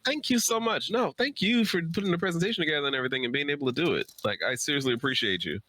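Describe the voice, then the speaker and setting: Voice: mid-range voice, animated, racing, pushed and bright, a little hiss or hum. A streamer chatting casually, informal and emphatic in the way of talking. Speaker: streamer, host of a guest conversation. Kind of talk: casual chat.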